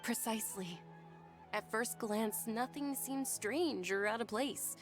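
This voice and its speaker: A woman voicing a gentle, thoughtful young noblewoman in a game, soft-spoken and earnest. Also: on the high side, slow, soft background music. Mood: calm. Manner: soft-spoken and earnest, with hushed breathy moments.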